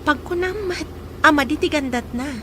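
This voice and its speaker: A gentle, hopeful young woman in love , voiced by an actress, speaks softly and earnestly in a fairly high voice. A faint hiss lies under this radio-play recording. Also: normal speed. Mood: light. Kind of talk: radio drama acting.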